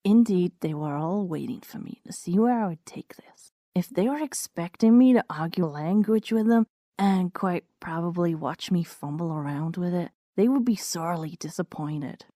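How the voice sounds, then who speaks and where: A woman's voice: lowish voice, normal speed, mellow, no echo, clean studio sound. A woman reading scripted first-person story narration in a wry, understated way.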